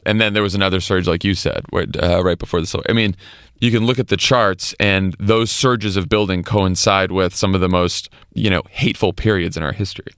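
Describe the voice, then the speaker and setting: American guy on a politics podcast, smooth clear voice, dry sound, chatty but emphatic. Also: sits low, steady tone, fairly quick, fairly bright tone, no room sound. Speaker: podcast co-host. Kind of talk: casual political commentary.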